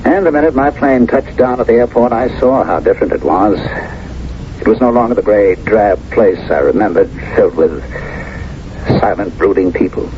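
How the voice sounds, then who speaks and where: A man's voice: on the low side, expressive, moderate pace, lo-fi sound, natural voice. Troubled veteran in love, male actor, vintage radio drama. Hesitant and earnest, with noisy old recording. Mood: troubled, confessional. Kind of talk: 1970s radio drama acting.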